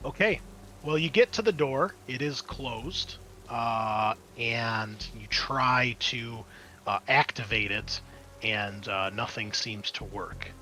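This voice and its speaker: A man's voice: mid-range voice, takes it slowly, sharp tone, faint music behind. A man acting as game master, narrating a tabletop roleplaying game in a casual, explanatory, conversational way. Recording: clear background noise.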